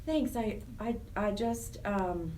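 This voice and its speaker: A woman's voice: medium pitch, lively intonation, slow, mellow. A middle-aged American woman speaking earnestly and reflectively as a guest in a conversational radio interview. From Wyoming, United States. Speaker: interview guest, charity program director. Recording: distant mic with faint noise.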